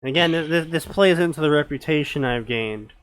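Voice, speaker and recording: A young man co-hosting a stream, telling work anecdotes in casual, deadpan chat with lots of fillers. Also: a little high, fairly quick, clean tone, poor audio. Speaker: stream co-host.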